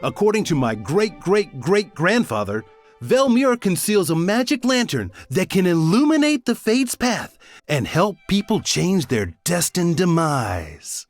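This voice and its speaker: A man's voice: high voice, big pitch swings, unhurried, soft background music, exaggerated voice. A man playing an earnest fantasy mage in a game, proclaiming ancient lore theatrically. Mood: portentous. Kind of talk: scripted game character acting.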